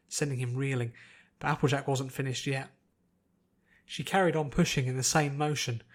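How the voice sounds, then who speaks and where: A man narrating a scripted fantasy story as an audiobook reader. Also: medium pitch.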